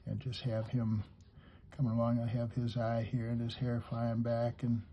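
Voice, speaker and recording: A man giving an unhurried, step-by-step drawing lesson for kids, talking as he draws and pausing mid-sentence, with a husky voice. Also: medium pitch, monotone, muffled recording, a little hiss or hum. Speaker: drawing teacher.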